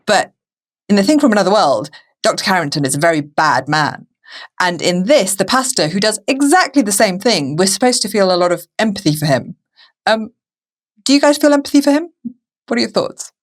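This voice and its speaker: A woman's voice: mid-range voice, animated, brisk. A woman hosting a podcast in a casual, conversational discussion style. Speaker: podcast host.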